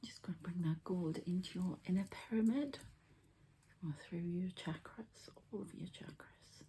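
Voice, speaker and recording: A woman softly whispering in an unhurried, halting murmur, presenting an ASMR energy healing as the healer. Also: on the low side. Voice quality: soft, breathy whisper with little voicing. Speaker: energy healer presenting her own channel. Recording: faint background noise.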